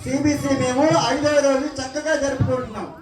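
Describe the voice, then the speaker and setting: A woman's voice: sits high, steady tone, brisk. A woman presenting an interactive gospel puppet show for children, questioning, scolding and prompting the audience. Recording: echoey distant PA, noisy crowd.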